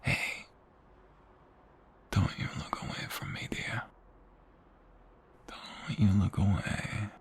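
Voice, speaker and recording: A man playing a protective, flirtatious ex-mobster husband in an intimate ASMR roleplay, tender but menacing, with breathy murmurs and a teasing manner. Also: fairly even intonation, takes it slowly, long pauses. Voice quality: deep, gravelly, often breathy murmur. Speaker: voice actor.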